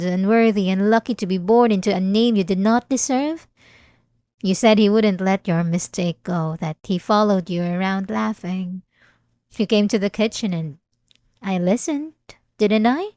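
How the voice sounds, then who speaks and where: A woman playing an obsessed young maid in a scripted ASMR roleplay, devoted and quietly menacing, with formal, composed, intimate delivery. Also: mid-range voice, no room sound, studio quality, lightly acted. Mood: bitter, intense.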